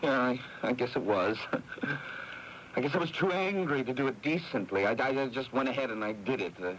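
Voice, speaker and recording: Actor as animated, hot-tempered spoiled younger man. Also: mid-range voice, moderate pace, lightly acted, poor audio. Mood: sullen, brooding. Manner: needling, sneering, with stammered repetitions. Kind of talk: 1980s radio drama acting. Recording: hissy 1980s AM radio airing.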